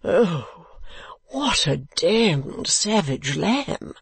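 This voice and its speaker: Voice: mid-range voice, sing-song, drawn-out words, breathy edge, no room sound, voice pushed up, exaggerated voice. A narrator voicing a foppish, cowardly, melodramatic husband who cajoles with affected baby-talk endearments in an audiobook. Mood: wheedling. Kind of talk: audiobook character acting.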